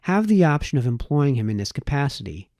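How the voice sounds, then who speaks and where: A man's voice: medium pitch, big pitch swings, brisk, darkish tone, no echo, clean studio sound. A man reading a formal essay aloud in the style of an audiobook narrator. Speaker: audiobook reader.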